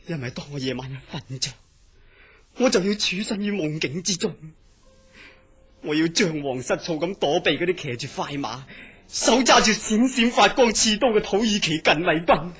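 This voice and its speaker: A man's voice: a little high, a bit bright. A man playing a terrified, melodramatically pleading mental patient haunted by nightmares in a Cantonese horror radio drama. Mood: fearful, brooding. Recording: clearly noisy audio.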